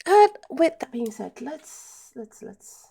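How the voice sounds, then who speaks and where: A woman's voice: high-pitched, very animated, takes it slowly, gravelly, warm tone, husky. A Dutch woman with a Dutch accent, chatting casually on a live stream and answering chat with many ums. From Netherlands.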